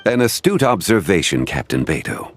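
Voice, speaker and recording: Casting: composed connoisseur of antiques, low register, eloquent and formal, expressive melody, video game role. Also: male voice, moderate pace, slight background noise. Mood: gracious, assured. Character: composed, courtly, scholarly gentleman connoisseur of antiques. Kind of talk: scripted video game dialogue.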